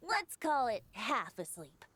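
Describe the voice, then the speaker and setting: Casting: breezy boyish bard for an anime game, light high pitch, very animated teasing storyteller, faint ambient noise behind. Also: female voice, slow. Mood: storytelling. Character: playful, easygoing youthful male bard. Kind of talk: anime video game voice acting.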